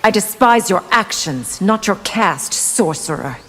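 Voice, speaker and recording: A woman's voice: mid-range voice, animated, measured pace, loud music behind the voice, lightly acted. A woman playing a proud, resolute warrior princess in a fighting game, stern and disdainful. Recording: noisy game backdrop.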